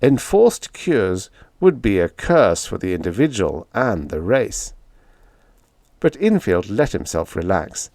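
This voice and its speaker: A man's voice: fairly low pitch, darkish tone, no room sound, clean studio sound. A man reading a scripted short story aloud as an audiobook narrator.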